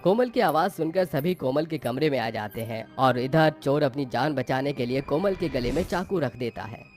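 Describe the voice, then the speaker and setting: A man narrates a story from a script. His voice sits at a middling pitch, with music playing faintly behind it. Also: expressive, moderate pace.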